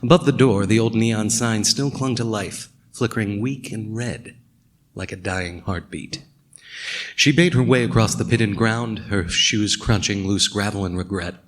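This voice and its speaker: A wry noir storyteller played by an actor narrates a radio drama in a lowish male voice. He sounds world-weary and sardonic, over faint background noise. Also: unhurried, natural voice. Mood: wry, brooding.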